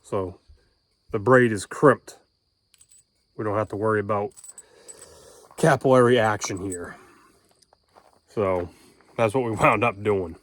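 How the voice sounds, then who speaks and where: A man's voice: lowish voice, takes it slowly, long pauses. A man talking casually and informally in a folksy way, explaining things as he goes. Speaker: homesteader and amateur radio operator vlogging.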